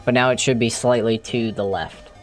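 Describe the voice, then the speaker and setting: A streamer thinking aloud in a halting, casual way while doing live coding commentary, with an unclear, mid-range voice. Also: medium pitch, fairly even intonation. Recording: music playing behind the voice. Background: music.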